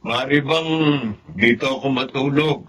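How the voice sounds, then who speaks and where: An actor playing a tender, earnest middle-aged father and husband in a sentimental radio drama, with a husky voice. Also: mid-range voice, a bit bright. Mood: hesitant, earnest. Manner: earnest, sentimental, emphatic pauses.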